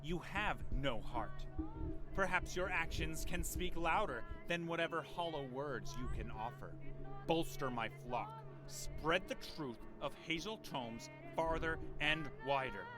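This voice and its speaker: Pompous, high-voiced vampire preacher. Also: male voice, lively intonation, unhurried, music in the background. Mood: scornful, demanding. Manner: theatrical, archaic, pompous diction. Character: accusatory, self-righteous vampire preacher, grandiose and bitter. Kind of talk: video game voice acting.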